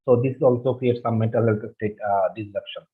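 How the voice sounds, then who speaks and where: Webinar presenter, man from Bangladesh, Bengali first language; halting delivery full of fillers, mid-pitched, lo-fi call audio. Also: fairly even intonation. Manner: halting, many fillers and restarts. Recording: husky, phone-like online call audio.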